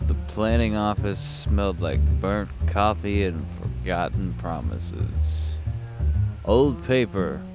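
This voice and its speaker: Man acting a low, gravelly noir detective. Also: slow, music in the background. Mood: grim, reflective. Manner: terse, wry, deadpan. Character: brooding, world-weary hardboiled male private detective. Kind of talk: noir audio drama first-person narration.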